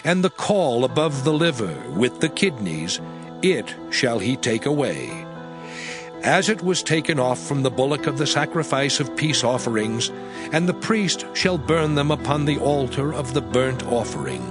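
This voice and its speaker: A man giving a solemn, declamatory dramatized reading of scripture as an audio Bible narrator. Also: mid-range voice. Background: music under the voice.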